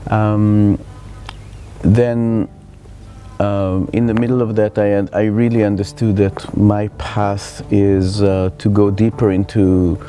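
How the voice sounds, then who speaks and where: A middle-aged man from Israel with an Israeli accent, telling his life story in a reflective, halting way as he searches for words. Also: on the low side, warm tone. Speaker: spiritual teacher. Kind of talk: personal life story told to camera.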